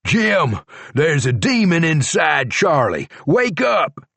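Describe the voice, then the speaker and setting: A man doing a slow-drawling jailed horse thief. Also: sits low, big pitch swings, no echo, full character voice. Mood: shouting. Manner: slow, drawling rural talk.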